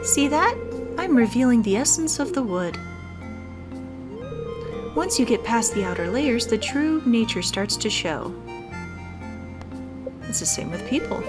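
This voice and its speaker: A woman's voice: a little high, sing-song, unhurried, long pauses, over music. A woman voicing a friendly, earnest young female artist, reading video game dialogue aloud in a welcoming manner.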